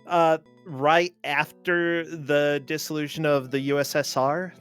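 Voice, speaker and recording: A woman's voice: low voice, little pitch movement, unhurried, clean tone. A woman giving casual commentary on a game as a guest commentator. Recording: faint music behind.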